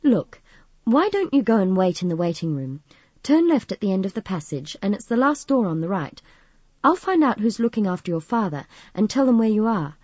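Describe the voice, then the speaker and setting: Woman voicing a kind, husky receptionist. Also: medium pitch, sing-song, moderate pace, a little mellow, dry recording, muffled recording. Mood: kind, helpful. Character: kind, helpful young woman at hospital desk.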